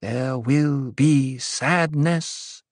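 Young prophetess, as played by a narrator, high-pitched, fierce, commanding, incantatory, audiobook drama. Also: very slow, no room sound, studio quality. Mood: solemn, intoning. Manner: commanding, ritual intoning that turns sharp. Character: fierce young prophetess intoning cryptic oracles to pilgrims.

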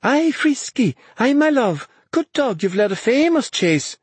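An excitable young woman who drives sled dogs, acted out by a man, calls out in a high, lifted voice. She is proud and openly fond of her dog, in the style of an audiobook heroine. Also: unhurried, slightly rough, no echo, exaggerated voice. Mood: affectionate. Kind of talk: audiobook character voice.

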